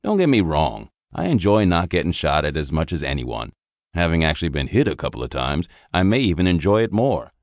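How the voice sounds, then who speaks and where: A man voicing a gruff, foul-mouthed veteran army sergeant who drawls in slang. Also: very deep voice, sing-song, brisk, no room sound, studio quality, heavily acted. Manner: crude, swearing, drawling slang.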